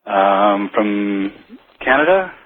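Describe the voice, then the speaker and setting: A man's voice: on the low side, very animated, extremely slow. A man from New England calling a radio show, chatting casually in a nervous, scattered way. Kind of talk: casual phone-in conversation. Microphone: telephone. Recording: tinny, muffled phone line.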